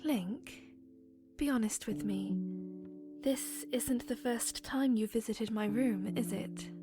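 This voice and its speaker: A woman voicing an earnest young princess who talks quietly and falters, in a fan audio drama. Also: fairly high pitch, slow pace, music in the background.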